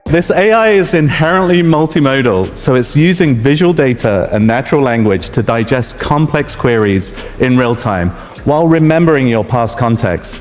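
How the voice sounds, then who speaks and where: A man's voice: medium pitch, animated, normal speed. A male native Persian speaker presents a live tech demo on stage. His voice carries through a reverberant hall, with faint music behind it. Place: stage before an audience.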